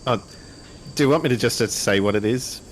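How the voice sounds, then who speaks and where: A man with a hesitant, halting way of talking, chatting casually as a game master. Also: medium pitch, a little hiss or hum. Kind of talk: casual out-of-character table talk.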